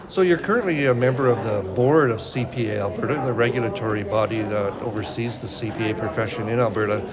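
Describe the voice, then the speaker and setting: A man's voice: on the low side, fast talker. A man interviewing guests, asking friendly questions with brief upbeat replies. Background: crowd chatter.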